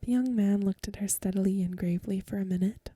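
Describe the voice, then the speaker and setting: Breathy, very dark whispering ASMR woman. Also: medium pitch, steady tone, no room sound, clean studio sound. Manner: gentle, unhurried, literary narration. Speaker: ASMR host reading a short story aloud. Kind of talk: soft-spoken ASMR bedtime story reading. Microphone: close microphone.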